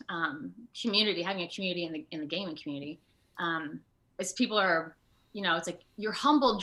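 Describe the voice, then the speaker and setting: Bright-voiced woman chatting in an interview. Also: on the low side. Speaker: interview guest, voice and performance-capture actor. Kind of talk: casual video interview chat. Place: remote online video call.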